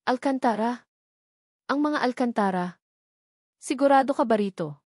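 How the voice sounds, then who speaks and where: A woman's voice: on the high side, animated, measured pace, darkish tone, no room sound, clean studio sound, pitched above the performer's own voice. Smart, brave woman lawyer, voiced by a narrator. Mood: surprised. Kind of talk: storybook dialogue.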